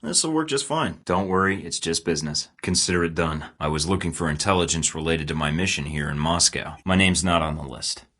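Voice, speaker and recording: A man's voice: a bass voice, animated, a little fast, few pauses, a bit bright, full character voice. An actor playing a cool, sardonic male secret agent in a video game, with a dry, clipped delivery. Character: cool, wry male American spy in his 30s.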